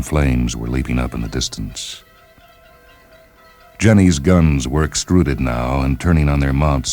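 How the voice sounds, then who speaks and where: A man narrating a short story in a deliberate, dramatic literary delivery, with music behind him. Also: a bass voice, a little mellow. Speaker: narrator and reader of short stories.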